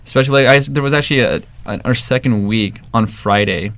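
A man's voice: medium pitch, fast talker, vocal fry, no room sound. A young man from the Valley, co-owner of an ice cream shop, telling stories casually with lots of fillers and restarts. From Los Angeles, San Fernando Valley. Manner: relaxed storytelling, many fillers and restarts. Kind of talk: casual interview answers.

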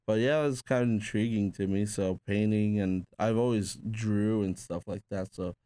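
A man's voice: lowish voice, fairly even intonation, normal speed, mellow, clean tone, no echo. A man born in Peru, a tattoo artist guest, casually telling rambling stories full of "like" and restarts. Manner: rambling, filler-heavy with frequent "like". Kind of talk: casual conversational storytelling.

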